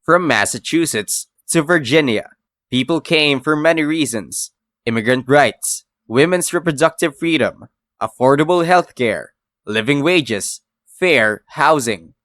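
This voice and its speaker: A man's voice: a little high, animated, a little slow, fairly bright tone, no echo, studio quality. A man reading scripted news documentary narration.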